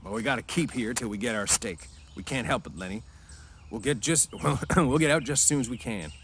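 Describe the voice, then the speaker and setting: A man's voice: medium pitch, fairly quick, noisy background, voice pushed up, lightly acted. A man voicing a protective, bossy ranch hand with brusque, scolding, slangy country speech. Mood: grudging.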